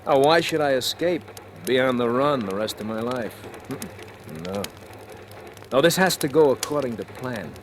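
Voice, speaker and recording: An actor playing a shrewd, cynical convicted embezzler who narrates his crime in deliberate, dramatic 1940s radio thriller style. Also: medium pitch, lively intonation, a little hiss or hum, natural voice. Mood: wry, calculating. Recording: old radio drama with music behind.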